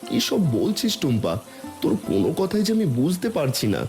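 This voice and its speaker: A man's voice: a little high, wildly swooping pitch, brisk, darkish tone, faint music behind. A man voicing a hapless, bewildered village father in a cartoon, with a plaintive manner. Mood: resigned, puzzled. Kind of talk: cartoon voice acting.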